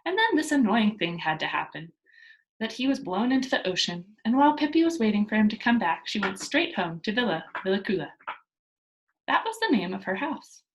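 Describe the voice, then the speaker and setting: Woman reading to children with lively intonation. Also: medium pitch, a little fast, low-quality recording. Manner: storytelling, addressing children. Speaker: teacher reading a book aloud to pupils. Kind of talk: expressive children's read-aloud with informal explanations.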